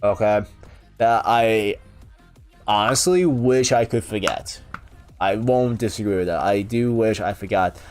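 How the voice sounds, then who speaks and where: Young guy rambling thru viewer questions, um like, soft music behind. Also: on the low side, unhurried, clear, resonant tone. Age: young adult. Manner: rambling, many fillers and restarts. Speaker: youtuber answering viewer questions. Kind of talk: casual chat.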